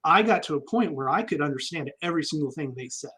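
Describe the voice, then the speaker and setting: A man's voice: on the high side, animated, quick pace, a bit bright, slightly rough. A man, an army veteran guest, telling stories candidly and reflectively in a casual interview, with frequent ums.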